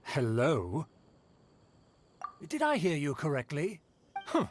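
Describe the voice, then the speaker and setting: A man's voice: fairly high pitch, wildly swooping pitch, takes it slowly, a little hiss or hum, heavily acted. A man voicing a haughty, ill-tempered nobleman in an anime video game, pompous and condescending in his delivery. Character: haughty, ill-tempered male aristocrat of fallen noble clan.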